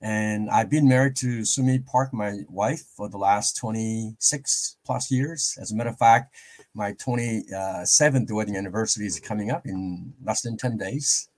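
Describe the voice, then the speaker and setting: A middle-aged man with a Korean accent speaks in a slightly low voice. He is an interview guest who explains his work in a measured, polite, conversational manner. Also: a little mellow. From South Korea. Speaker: interview guest, professor and preaching pastor.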